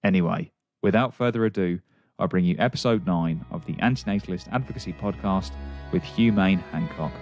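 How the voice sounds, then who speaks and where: A man's voice: deep voice, a little fast, darkish tone, muffled recording, soft background music. A man hosting a podcast, introducing and interviewing a guest. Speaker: podcast host.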